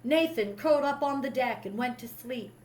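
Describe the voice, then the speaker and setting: A woman reading a children's history story aloud in an expressive storytelling style. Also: on the high side, moderate pace. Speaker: storybook reader.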